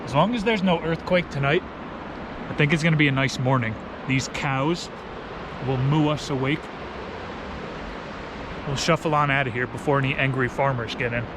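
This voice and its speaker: A man's voice: mid-range voice, moderate pace, long pauses. American man vlogging outdoors over background noise. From New Jersey, United States. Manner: slangy, playful. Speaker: travel vlogger. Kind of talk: casual vlog chat with storytelling. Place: outdoors, camping.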